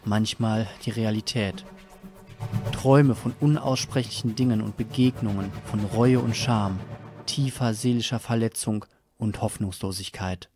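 A man's voice: on the low side, fairly even intonation, measured pace, darkish tone, lightly acted. Audio drama lead , played by a man: tormented, dazed, brooding first-person narrator, over music. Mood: anguished, brooding. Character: tormented, disoriented man narrating his waking nightmare. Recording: music under the voice.

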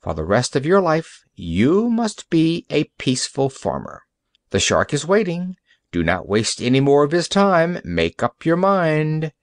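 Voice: medium pitch, wildly swooping pitch, unhurried, no room sound, clean studio sound, pitched above the performer's own voice. A storyteller voicing a stern, kindly male doctor who lays down firm orders. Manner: firm, commanding.

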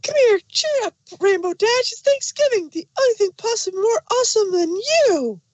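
A hyperactive young cartoon pony with an extremely high, squeaky-pitched voice. She is relentlessly cheerful and pushy, exclaiming her way through a fan audio drama, recorded close and dry. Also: sing-song, normal speed, no room sound. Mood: chirpy, pushy.

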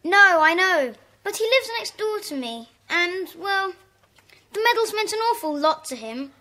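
A woman's voice: very high-pitched, sing-song, a little slow, pushed and bright, full character voice, low-quality recording. A woman playing a polite, hesitant schoolchild in a children's audio drama, earnest and apologetic.

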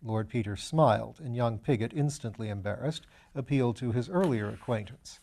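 An older man narrates a storybook. His voice is dark, relaxed and of medium pitch. He is recorded on a lapel mic in a small room. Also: normal speed, creaky. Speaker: audiobook reader. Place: small carpeted attic library, indoors.

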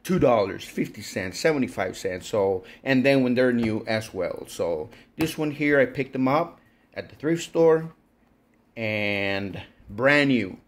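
A man's voice: mid-range voice, lively intonation, a little slow. A man with a mid-range voice talks casually to his viewers as a channel host. His delivery is folksy and rambling, like informal shop talk from an online reseller. He speaks from behind a handheld camera indoors. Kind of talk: casual vlog chat to viewers. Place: indoor workshop.

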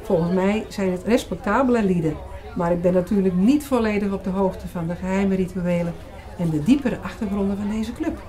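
Actress as young woman, curious, self-assured, mid-pitched, conversational, audio drama, people murmuring behind. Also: brisk, mellow, a faint crowd, natural voice.

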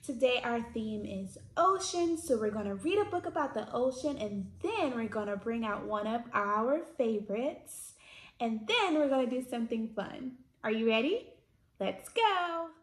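A woman in her thirties hosting children's storytime, reading picture books aloud in an expressive, sing-song way. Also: fairly high pitch, a little slow. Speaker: children's storytime host at a library. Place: indoors, small plain-walled room. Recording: roomy echo from camera mic.

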